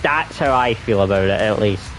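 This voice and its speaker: A man's voice: lowish voice, lively intonation, normal speed, a bit bright, faint music behind, poor audio. A man giving a casual, rambling review of a game with friends, laughing often and restarting his sentences.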